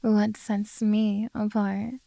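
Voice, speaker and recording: A woman playing a possessive, coaxing beastkin monster girl in a soft, teasing ASMR roleplay. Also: mid-range voice, level tone, slow pace, vocal fry, dry recording. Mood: calm, probing.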